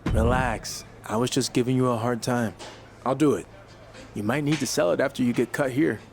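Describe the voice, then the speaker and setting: A man's voice: medium pitch, steady tone, normal speed, gravelly, darkish tone, slight background noise, close to an everyday voice. A man playing a confident, wry American fighter turned rookie wrestler in a video game cutscene, with a dry manner. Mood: teasing, dry. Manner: self-assured, dry.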